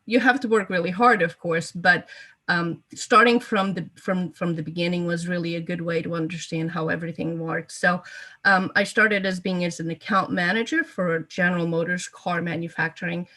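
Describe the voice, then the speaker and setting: A woman's voice: on the low side, fairly bright tone. A woman in her fifties, from Portugal and a native Portuguese speaker, telling her life story as an interview guest with frequent ums and repeated words. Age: 50s.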